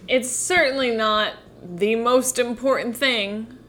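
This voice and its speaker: A woman's voice: a little high, slow, a bit bright. A woman chatting casually about politics in a conversational, agreeable way. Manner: conversational, agreeable, many fillers.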